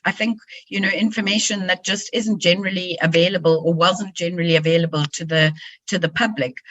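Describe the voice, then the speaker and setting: A woman with a croaky, cold-roughened voice, earnestly explaining a community project in a webinar with frequent ums. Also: medium pitch, a bit bright. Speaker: resident and community organiser. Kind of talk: webinar presentation. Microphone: video call. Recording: muffled video call audio.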